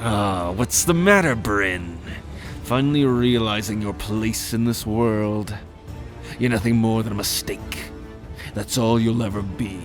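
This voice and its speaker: Man playing a slow, sneering, cruel merman prince. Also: on the low side, over music, close to an everyday voice. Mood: contemptuous. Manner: taunting, haughty, contemptuous. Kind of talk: dramatic fantasy roleplay audio acting.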